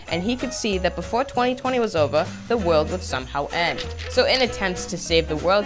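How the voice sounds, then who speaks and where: A host telling comic stories in a theatrical, mock-solemn and emphatic way, with a put-on accent. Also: mid-range voice. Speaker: storytelling show host. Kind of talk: comedic storytelling with put-on accent.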